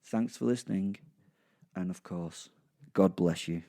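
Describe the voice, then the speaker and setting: A man narrating a first-person horror comedy story with a theatrical, relishing manner and dramatic pauses. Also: sits low, creaky, warm tone. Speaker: narrator and host.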